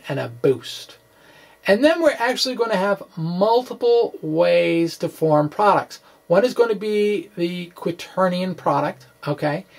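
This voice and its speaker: A middle-aged man giving an informal, halting explanation to camera in an offhand, quirky manner. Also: high-pitched, vocal fry. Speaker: physics blogger presenting puzzle answers. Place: small indoor room with bookshelves. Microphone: microphone on a stand in front of him.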